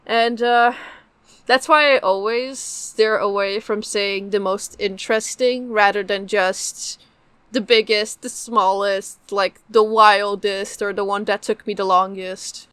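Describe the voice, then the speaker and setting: A woman's voice: medium pitch, fairly even intonation, slow, fairly bright tone, smooth, no room sound. A young adult woman, a native Polish speaker, answering interview questions casually as a guest. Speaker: guest, game translator and developer.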